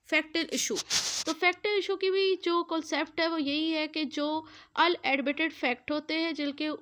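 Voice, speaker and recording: A woman's voice: high voice, a bit bright. A woman with a Pakistani accent giving an explanatory law lecture, repeating and rephrasing in a didactic way and mixing in English terms.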